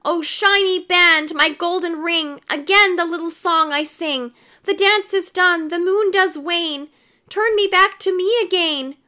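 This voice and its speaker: Woman voicing an excitable, panicky young man, high-pitched. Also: unhurried, heavily acted. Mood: anxious singing. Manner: pleading, exclamatory. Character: excitable young man, vain, lovestruck-chased, easily panicked.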